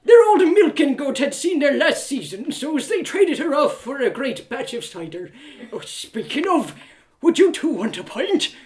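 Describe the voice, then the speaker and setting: A woman playing a cheerful, forgetful old male innkeeper who rambles slowly in an acted audio story. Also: high-pitched, very animated, darkish tone.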